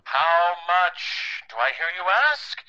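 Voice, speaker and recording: An actor playing a mocking, theatrical dead father leaving a slow, gloating taped message in a horror audio drama. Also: a little high, bright, forceful tone, full character voice. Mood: taunting. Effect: old tape recorder filter. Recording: muffled lo-fi tape recorder playback.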